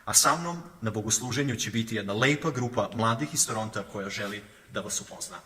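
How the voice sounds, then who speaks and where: A man's voice: on the low side, expressive, fast, sharp tone. A man, apparently a pastor, reading out a promotional announcement for a youth church service. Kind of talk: scripted church event announcement.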